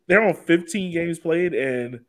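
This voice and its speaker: Animated man hosting a casual sports chat. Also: a little high. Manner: informal, colloquial, joking. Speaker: podcast host.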